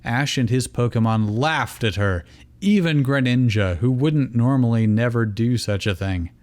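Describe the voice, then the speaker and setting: A man with a mid-pitched voice reads a story aloud at a leisurely pace. He narrates plainly and slips into casual, joking asides as host. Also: a little slow, darkish tone. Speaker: reader, host. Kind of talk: comedic fan fiction narration with casual asides.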